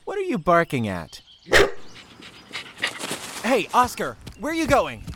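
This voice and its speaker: An actor playing a grieving, shaken young man in an audio drama, hurt and guarded, stammering haltingly through his words. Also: high-pitched, wildly swooping pitch, very noisy, heavily acted. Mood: subdued, wary.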